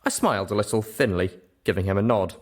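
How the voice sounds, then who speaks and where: A man's voice: on the low side, fast talker. A man reading a scripted first-person story as an audiobook narrator.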